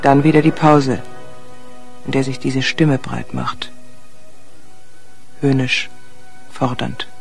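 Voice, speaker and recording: Creaky-voiced, guarded wife. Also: female voice, a bass voice, fairly even intonation, takes it slowly, long pauses, faint music behind, full character voice. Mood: grim resolve. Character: abused wife, tense, defensive, grimly resolved. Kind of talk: German radio crime drama acting.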